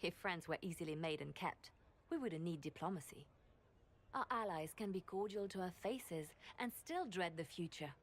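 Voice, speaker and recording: A shrewd, wistful court diplomat from a fantasy game. Her slightly low voice is solemn and measured, with a poetic turn. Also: expressive. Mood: grave, gentle. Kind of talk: video game voice acting.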